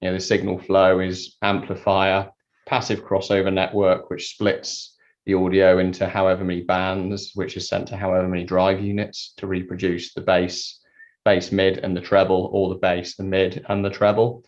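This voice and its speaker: A man giving a conversational technical explanation as an interview guest, halting and repeating words as he thinks. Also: low-pitched, monotone. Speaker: interview guest, loudspeaker designer. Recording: dull lo-fi sound.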